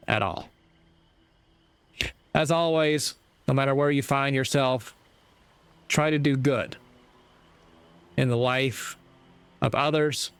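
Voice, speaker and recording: A man delivering a reflective motivational monologue in a measured, earnest way, with deliberate pauses. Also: medium pitch, clear, resonant tone. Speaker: speaker giving moral reflections.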